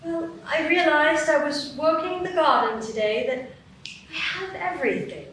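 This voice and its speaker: A woman's voice: sits high, moderate pace, fairly bright tone, slight background noise. A woman voicing a tender, grateful mother in a live radio play. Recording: echoey stage, distant mic.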